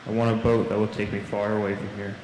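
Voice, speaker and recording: A man's voice: on the low side, flat delivery, quick pace, a little mellow, lightly acted. A man voicing a needy storybook boy who grows weary with age, in a plain manner. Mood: wanting. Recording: echoey hall, long reverb, faint noise.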